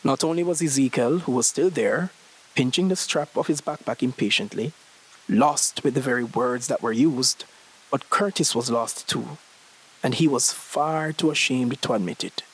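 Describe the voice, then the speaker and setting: Young guy reading out a short story, scripted narration, mid-pitched voice. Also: moderate pace, a bit bright, slightly rough, low-quality recording. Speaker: story reader.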